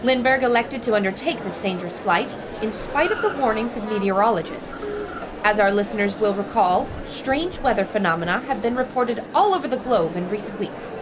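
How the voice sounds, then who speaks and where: A woman's voice: mid-range voice, expressive, brisk, lightly acted. A woman playing an intrepid, determined 1920s radio reporter in an old-time audio drama, with a proclaiming, plucky delivery. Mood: proud, excited reporting. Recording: noisy ambience behind the voice.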